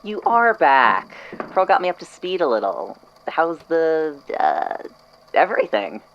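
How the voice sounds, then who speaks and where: An actor playing an earnest, tentative, loyal coworker in an audio drama, with hesitant, halting phrasing. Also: medium pitch, sing-song, creaky, dull audio, a little hiss or hum. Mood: friendly, tentative. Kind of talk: fan audio drama acting.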